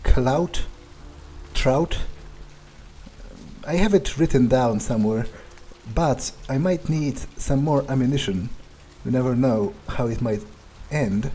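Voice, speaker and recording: A man voicing an arrogant, cruel, brooding vampire in a video game roleplay, speaking haltingly with haughty menace. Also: mid-range voice, dark tone, lightly acted. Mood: musing. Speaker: role-playing game streamer. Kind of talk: in-character game playthrough monologue.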